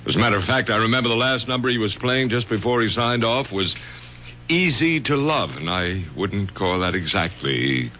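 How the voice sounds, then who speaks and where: A man's voice: fairly low pitch, normal speed, pushed and bright, slight background noise. An actor playing a nervous middle-aged professor who stammers and repeats his words, in an old radio drama. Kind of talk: 1970s radio drama acting. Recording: dull lo-fi old radio broadcast.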